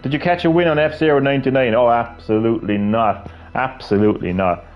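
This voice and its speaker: A man's voice: mid-range voice, fairly even intonation, brisk, lo-fi sound, faint music behind. A man, chatting casually while he plays a fighting game, rambling with lots of fillers and restarts. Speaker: gaming streamer. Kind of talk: casual gameplay commentary.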